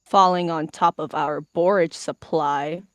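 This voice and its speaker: A woman's voice: low voice, fairly even intonation, a little slow, no echo. Woman as an awkward, dutiful young she-cat. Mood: salty, explaining.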